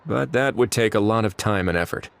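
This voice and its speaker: An actor playing a calm, authoritative male warden in a video game, speaking in a cool, measured, dryly commanding way. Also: lowish voice, vocal fry, heavy background noise. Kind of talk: video game cutscene dialogue.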